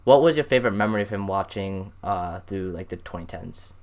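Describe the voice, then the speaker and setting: A man's voice: deep voice, expressive, fairly quick, a little mellow. A man with an American accent hosting a casual sports interview and asking questions. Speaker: podcast host, interviewer.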